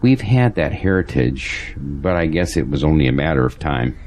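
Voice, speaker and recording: A resigned, pragmatic churchgoing man, with a deep voice that moves up and down a lot. He ponders things matter-of-factly, in audio drama style. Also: very animated, normal speed, darkish tone, slight background noise. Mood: grave, resigned.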